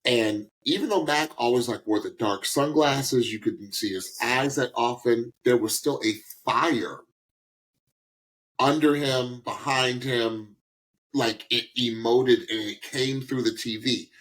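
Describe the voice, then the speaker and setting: A man's voice: medium pitch, takes it slowly, fairly bright tone, rough recording. A man co-hosting a podcast with casual, emphatic reaction commentary, repeating phrases for effect. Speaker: podcast co-host.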